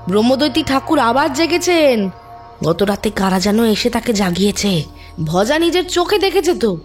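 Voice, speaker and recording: A credulous local auntie in an audio drama. Her voice is fairly high and very lively, gossipy and awed, with faint music underneath. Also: normal speed. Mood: awed, excited. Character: credulous, excited neighbourhood aunt. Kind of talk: Bengali audio drama acting.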